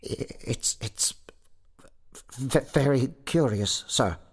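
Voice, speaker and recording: Stammering, terrified sexton, pitched up. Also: male voice, expressive, a bit bright, breathy edge, full character voice. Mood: fearful pleading. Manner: stammering, halting, timid. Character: terrified, meek male sexton, stammering and deferential.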